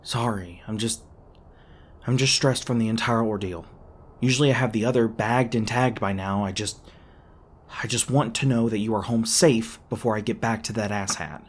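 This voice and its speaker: A man playing a gruff, defensive monster hunter who secretly cares, in an intimate roleplay audio drama, with casual, sweary, hesitant speech. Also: lowish voice, moderate pace, natural voice. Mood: stressed, apologetic. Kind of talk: intimate ASMR roleplay acting.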